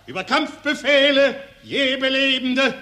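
An actor playing a bombastic war poet, declaiming patriotic verse with exalted martial fervour in an old radio play. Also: male voice, very high-pitched, unhurried, pushed and bright, a little hiss or hum, exaggerated voice. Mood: solemn fervour. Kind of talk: mid-century German radio drama, verse declamation. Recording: old radio play, echoing lecture hall.

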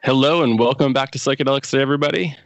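A man's voice: mid-range voice, racing, no room sound, dull audio, soft background music. A man hosting a podcast, introducing the episode and guests in a casual, upbeat way with many fillers. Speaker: podcast host.

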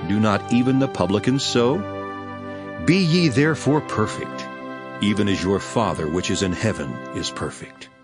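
An actor playing a solemn, authoritative male preacher in a dramatized King James Bible reading, declaiming in a measured, emphatic way. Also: lowish voice, animated, over music, close to an everyday voice.

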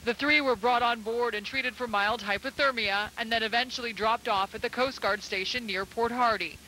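A woman's voice: on the high side, steady tone, moderate pace, bright, forceful tone, a little hiss or hum, rough recording. A woman reporter reading a scripted news voice-over with urgent, dramatic delivery.

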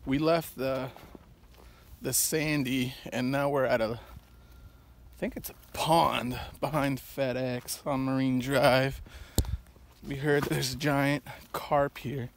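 A man's voice: medium pitch, steady tone, slow, creaky. An easygoing man with a mid-range voice talks casually outdoors. Faint outdoor noise sits under his voice, and the capture is a plain phone recording. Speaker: fishing vlogger. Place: outdoors by water.

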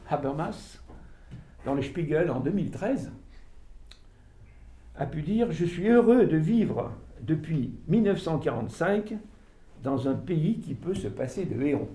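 Older man lecturing in an echoey room. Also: on the high side. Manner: animated, halting delivery with frequent fillers and restarts. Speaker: author giving a book talk. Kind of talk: informal lecture to an audience. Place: small room with audience, indoors. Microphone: far camera, no mic seen.

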